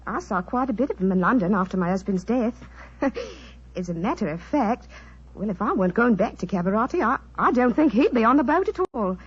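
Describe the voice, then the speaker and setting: A woman's voice: a little high, animated, fairly quick, slightly rough. A woman playing a breezy, frank chorus girl turned island queen, chatty and candid, in 1940s radio style. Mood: coy, hopeful. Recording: dull vintage 1940s radio recording.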